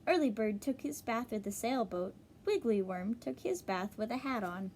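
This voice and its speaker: A woman teacher reading a children's storybook aloud, pausing to explain and ask young listeners questions. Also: a little high, lively intonation, darkish tone, a little hiss or hum.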